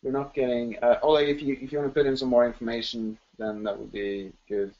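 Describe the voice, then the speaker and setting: Man, mid pitch, enthusiastic webinar host, rambling with fillers, muffled sound. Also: flat delivery, fast. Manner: chatty, filler-heavy, enthusiastic. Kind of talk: live webinar Q&A. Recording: dull lo-fi webinar audio.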